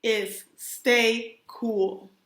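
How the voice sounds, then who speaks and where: A woman voicing a firm, patient female sea-creature schoolteacher in a storybook, measured and admonishing. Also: on the high side, steady tone, a bit bright, reverberant, full character voice. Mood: patiently admonishing.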